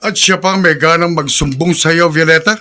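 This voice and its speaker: A man's voice: fairly high pitch, steady tone, normal speed, sharp tone. A man acting an exasperated, fair-minded father with a Filipino accent in a radio drama. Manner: stern, emphatic. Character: exasperated, fair-minded father scolding his unruly son.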